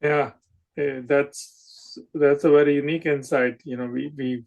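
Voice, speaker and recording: A man's voice: on the high side, little pitch movement, measured pace, gravelly, darkish tone. A middle-aged man hosting a podcast, chatting casually with his guest and hesitating often with fillers and restarts. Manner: emphatic, scripted show intro. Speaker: podcast host. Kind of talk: casual interview chat.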